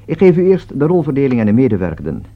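A man's voice: mid-range voice, very animated, quick pace. Dutch male announcer on thin, phone-like recording. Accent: Dutch, from the Netherlands. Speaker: radio announcer. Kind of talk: scripted 1960s radio announcement.

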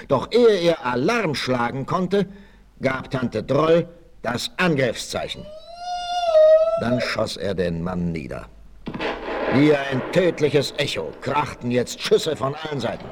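A man narrates an adventure story in the manner of a 1960s audio play. His mid-pitched voice moves with lively, suspenseful emphasis. The vinyl transfer has clear background noise. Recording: noisy 1968 vinyl transfer.

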